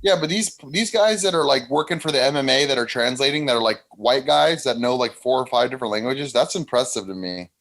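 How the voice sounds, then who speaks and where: Gravelly man chatting on a video call. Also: mid-range voice, fairly quick, hardly any pauses, fairly bright tone. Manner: casual, repeats himself when starting sentences. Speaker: co-host. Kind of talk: casual podcast chat.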